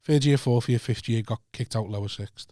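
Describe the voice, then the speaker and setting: A man hosting a podcast, who talks casually and rambles in slang. His pitch is mid-range and the recording is dry. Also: brisk, warm tone, dry recording. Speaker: podcast host.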